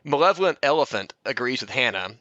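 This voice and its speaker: A man's voice: medium pitch, fast talker, dry recording, dull audio. A man hosting a casual comedy podcast, joking and swearing freely as he chats and reads out comments. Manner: profane, joking, animated. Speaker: podcast host.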